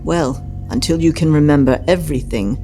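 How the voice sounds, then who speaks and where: An actor playing a gruff, hostile caretaker with a dry, curt delivery in an audio drama. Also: mid-range voice, moderate pace, dark tone, soft background music, close to an everyday voice. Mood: suspicious, dry. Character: gruff, hostile caretaker who holds a grudge.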